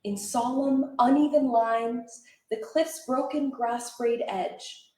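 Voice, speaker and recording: A woman reciting poetry as a dramatic reader, with measured, expressive and deliberate phrasing. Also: on the high side. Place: indoor library event room. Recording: echoey room, live reading.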